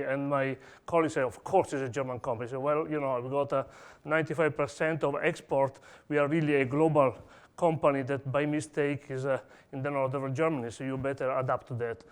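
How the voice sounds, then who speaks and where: A man from Italy speaks with an Italian accent, giving a technical talk. His voice is smooth and resonant and sits a little high for a man. He stops often, filling gaps with "uh" and restarting his sentences. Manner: hesitant, many "uh" fillers and restarts. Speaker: conference speaker. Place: conference hall.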